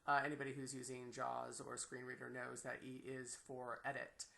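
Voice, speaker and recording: A woman giving an unscripted, enthusiastic screen-share software tutorial as a teacher, with a creaky voice. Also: a bass voice, completely flat delivery, brisk. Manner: enthusiastic, exclaims in delight.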